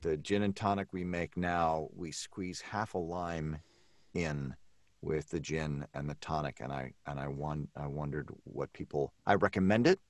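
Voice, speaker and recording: Very deep-voiced man, dry sound. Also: a little slow, no room sound. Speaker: livestream panel guest. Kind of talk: casual chat.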